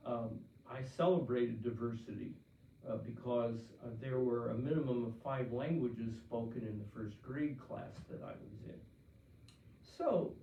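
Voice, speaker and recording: A man's voice: mid-range voice, creaky, mellow, slight background noise. An older man, a pastor from Pennsylvania, answering listener letters in a conversational Q&A talk with frequent restarts and fillers. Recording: roomy, distant, dull-sounding.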